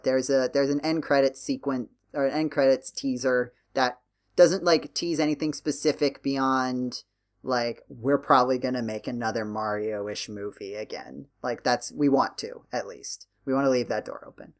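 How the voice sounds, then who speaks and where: Halting male podcast host thinking aloud. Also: mid-range voice. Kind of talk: casual podcast discussion.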